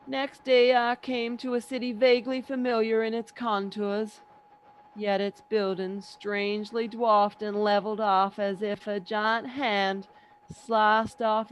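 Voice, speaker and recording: A woman as a slow, haunted survivor. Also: medium pitch, slight background noise, natural voice, poor audio. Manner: halting, deliberate, repeats words. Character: weary, haunted survivor wandering a ruined land. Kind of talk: radio play reading, dramatic first-person narration.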